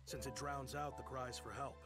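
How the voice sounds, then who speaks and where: A man's voice: mid-range voice, steady tone, moderate pace, natural voice. An actor playing a wary, nervous working man in a video game trailer, talking in a folksy, chatty way. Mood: ominous warning. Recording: quiet game trailer playback under loud music. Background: loud soundtrack music.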